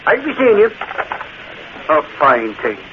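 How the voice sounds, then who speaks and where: An actor playing a blustery, excitable newspaper reporter and ex-cop, indignant and suspicious, in 1940s radio drama style. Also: male voice, a little high, takes it slowly, heavily acted. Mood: grumbling. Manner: blustery, indignant, folksy exclamations. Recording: noisy, muffled 1940s radio recording.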